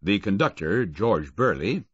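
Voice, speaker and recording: A man voicing a middle-aged railway director who speaks in a formal, measured way. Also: low-pitched, sing-song, a bit bright, breathy edge, dry recording, voice pushed up, heavily acted. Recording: dull lo-fi, dry close audio.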